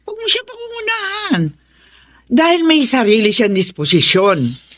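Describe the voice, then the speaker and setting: A woman's voice: high voice, big pitch swings, normal speed, fairly bright tone, dry recording, studio quality. An actress playing a firm, fair-minded older mother in a Filipino radio drama, admonishing her grown child. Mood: reproachful.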